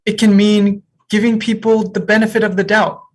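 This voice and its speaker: A woman acting an easygoing, frank, teasing co-op employee in a comedy table read, with a deadpan, measured delivery. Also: medium pitch, lightly acted. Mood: earnest, thoughtful. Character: easygoing, frank, teasing new co-op hire Noah.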